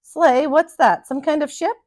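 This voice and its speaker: A woman's voice: high-pitched, a little slow, darkish tone, no room sound, clean studio sound, pitched above the performer's own voice. A woman voicing a bold, suspicious male gingerbread pirate captain from a storybook, blustery and commanding. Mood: curious, suspicious.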